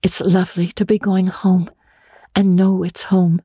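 A woman's voice: on the low side, little pitch movement, measured pace, warm tone, slightly rough, no room sound, clean studio sound, pitched above the performer's own voice. A woman voicing an imaginative, talkative young girl in a storybook reading, earnest and dramatic, with gushing chatter. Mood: dreamy, happy.

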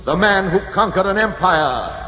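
An actor playing the grand, romantic spirit of Adventure as a declamatory 1940s radio narrator. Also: male voice, sits high, lively intonation, normal speed, sharp tone. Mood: grand, alluring. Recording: reverberant, muffled, noisy 1940s radio recording.

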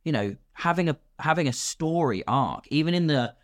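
A man's voice: fairly high pitch, animated, moderate pace, dry recording, studio quality. A British man talking casually as a podcast guest, explaining his craft and acting out imagined questions as he goes. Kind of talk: casual conversational explanation of filmmaking.

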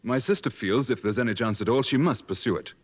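Actor as an earnest English gentleman, muffled radio sound. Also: mid-range voice, fast talker, slightly rough, lightly acted. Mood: concerned. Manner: polite but persistent. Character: earnest, insistent English gentleman seeking a lost brother-in-law. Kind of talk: 1950s radio drama acting and narration. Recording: muffled old radio broadcast.

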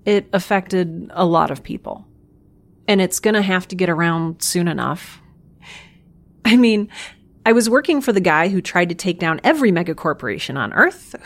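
A woman playing an earnest, defensive scientist in an audio drama, talking in a sincere, halting, wry way. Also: lowish voice, animated. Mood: confessional. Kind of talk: audio drama acting, conversational.

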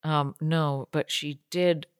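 A woman's voice: low voice, steady tone, slow pace, smooth, dry recording, soft background music. A young woman co-hosting a podcast from a basement lounge, chatty and joking, laughing often. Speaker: podcast co-host. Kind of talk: casual chat. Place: home basement tiki lounge.

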